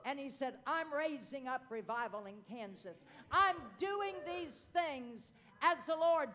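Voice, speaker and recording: An older woman minister preaching a prophetic word in a church meeting room, with arms raised and rising to shouts. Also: very high-pitched.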